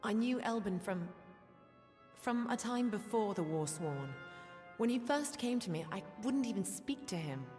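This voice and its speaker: An actress playing a quiet, guilt-ridden soldier in a fantasy video game, speaking earnestly and hesitantly. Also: female voice, mid-range voice, animated, a little slow, close to an everyday voice. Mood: remorseful. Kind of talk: video game character acting. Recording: long reverb, music behind.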